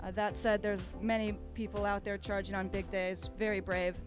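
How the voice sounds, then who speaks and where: Woman bodysurfer from Australia, mid-pitched, laid-back rambling answers, loud music behind. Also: normal speed. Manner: relaxed, rambling, many fillers. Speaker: competing bodysurfer. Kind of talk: casual interview. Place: outdoor beach event.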